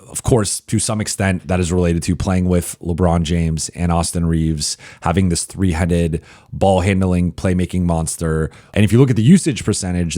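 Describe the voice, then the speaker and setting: Hoarse man, very low pitch, dry close sound; sports reporter working through a stats-heavy mailbag. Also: darkish tone, no room sound. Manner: analytical, reels off statistics with frequent "uh" pauses. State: hoarse, partly lost voice. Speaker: basketball reporter and show host. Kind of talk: solo mailbag podcast commentary.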